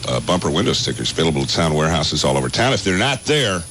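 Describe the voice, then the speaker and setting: A male radio newsman with a deep, animated voice and a brisk pace. He moves between weather reads and playful, wry banter as co-host. It sounds like a 1980s AM broadcast with faint noise.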